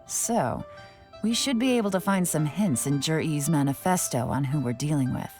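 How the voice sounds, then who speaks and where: An actress playing a shrewd, secretive female investigator in a video game, speaking in a measured, knowing, lightly teasing way. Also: low-pitched, a little mellow, soft background music. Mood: shrewd, analytical. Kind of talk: scripted video game dialogue.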